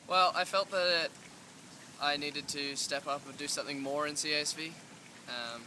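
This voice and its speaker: A man's voice: fairly high pitch, steady tone, moderate pace. A man with an Australian accent giving a casual interview answer. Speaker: youth organization representative. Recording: clear ambient background noise.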